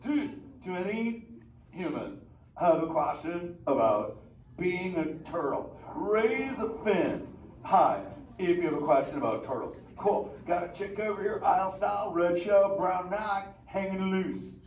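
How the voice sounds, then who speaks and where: A chill surfer sea turtle, high lively voice, slangy drawl, echoey hall, heard from afar. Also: male voice, measured pace. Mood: playful. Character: laid-back surfer-dude male sea turtle. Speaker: live show character performer. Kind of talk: live interactive audience show. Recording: echoey theater from far off, noisy.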